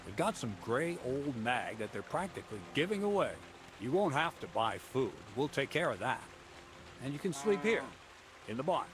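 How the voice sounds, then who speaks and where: An actor playing a gruff middle-aged miller who bosses a newcomer around with dry mockery, in a game with steady background noise. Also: male voice, medium pitch, moderate pace, lightly acted. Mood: mocking. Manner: dry, mocking, bossy.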